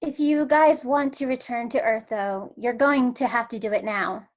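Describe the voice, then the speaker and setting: A woman's voice: a little high, moderate pace, close to an everyday voice. A woman playing a dry, blunt female space colleague in a comedy script table read.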